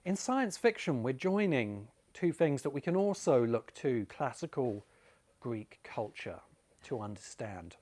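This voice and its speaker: A man presenting an explanatory video essay like a lecturer, with emphatic, deliberate phrasing and dramatic pauses. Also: medium pitch, sing-song, a little mellow. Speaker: presenter and writing course teacher.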